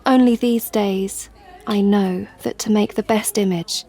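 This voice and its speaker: A woman's voice: medium pitch, fairly even intonation, unhurried, warm tone. An actress playing a regretful, earnest young mother confessing in an audio play monologue. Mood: earnest, reflective. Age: young adult.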